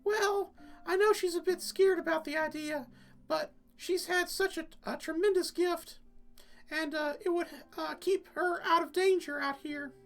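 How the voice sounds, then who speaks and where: Man putting on a high-pitched, worried mother. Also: steady tone, unhurried, creaky, fairly bright tone, faint music behind, heavily acted. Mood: worried, pleading. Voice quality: high falsetto. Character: worried, pleading, tender mother of a young woman.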